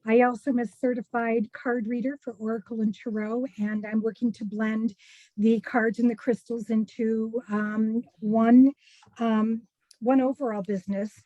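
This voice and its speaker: Woman, mellow tone, slightly high pitch, dull lo-fi call audio, relaxed with fillers. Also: a little slow, no echo. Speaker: guest. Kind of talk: casual chat on a video call. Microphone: video call.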